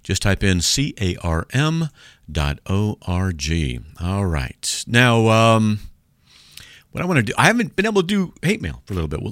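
A man with a deep voice hosting a call-in radio show, joking and sarcastic as he reads out mail. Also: no echo, studio quality.

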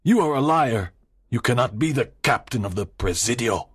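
A man voicing a stern, accusing colonial governor in a storybook, husky and pompous. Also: mid-range voice, expressive, moderate pace, fairly bright tone, slight background noise, lightly acted. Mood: indignant. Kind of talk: dramatised storybook dialogue.

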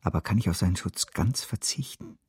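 A male actor playing a brooding, ambitious financier in a German radio drama, with a very husky voice. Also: deep voice, huge pitch swings, fairly quick, no room sound, clean studio sound, exaggerated voice. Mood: vengeful, calculating.

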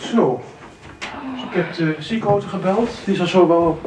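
An actor in a radio drama playing a brisk family doctor, businesslike and reassuring. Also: male voice, high-pitched, lightly acted. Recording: noisy, echoey old radio play recording.

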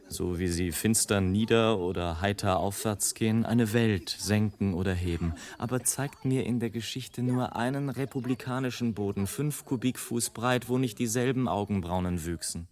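An actor playing a scornful airship traveller in a radio play, delivering a sardonic, declamatory monologue as a bitter satirist of mankind. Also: male voice, on the low side, a little mellow, close to an everyday voice. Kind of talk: literary radio play monologue, late 1980s. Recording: music behind the voice. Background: music.

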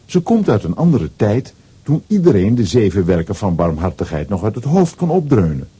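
A man with a husky voice narrating a scripted children's audio story as its storyteller. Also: on the low side, sing-song, normal speed, warm tone.

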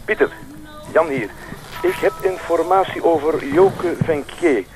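A man's voice: on the high side, a little slow, low-quality recording. A man playing an eager, slightly hesitant Flemish police colleague reporting news in a radio drama. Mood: eager, apologetic. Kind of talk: naturalistic radio drama acting.

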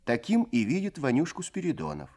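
An actor narrating a Soviet radio play in a lyrical, literary way, with measured, expressive phrasing. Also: male voice, a little high. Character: male storyteller, lyrical and unhurried.

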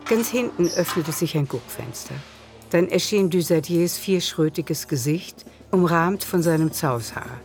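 A woman's voice: on the low side, animated, unhurried, a little mellow, soft background music. A woman reading scripted literary narration as the narrator of a radio drama.

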